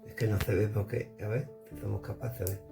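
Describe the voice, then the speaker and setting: A man's voice: lowish voice, steady tone, brisk, gravelly, music in the background. A middle-aged man from chatting casually indoors as he demonstrates radio equipment, thinking aloud while he fiddles with the devices. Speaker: radio gear reviewer.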